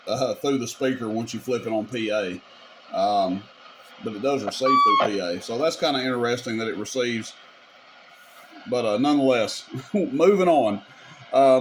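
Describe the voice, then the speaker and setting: A man with an average-pitched voice walks through a piece of gear like a video host. His delivery is informal and folksy, explaining each step casually as he goes. The camera microphone picks up clear noise and ambience behind him. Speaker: radio repair video host demonstrating gear. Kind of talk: casual hands-on product demonstration.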